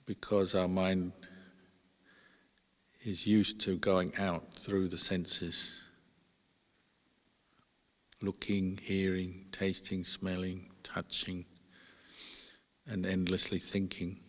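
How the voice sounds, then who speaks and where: Deep, even-toned man guiding meditation in reverb. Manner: measured, pausing between short phrases. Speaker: Buddhist monk leading guided meditation. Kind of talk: guided meditation instruction. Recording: long hall-like reverb.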